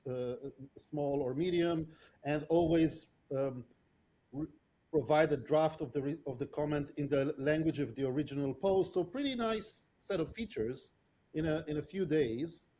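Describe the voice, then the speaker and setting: A middle-aged man answers interview questions as a product leader. His voice sits a little high but sounds warm and mellow. He talks thoughtfully and often restarts his sentences or fills pauses. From Israel. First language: not English. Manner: thoughtful, many restarts and fillers. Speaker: podcast guest, product leader.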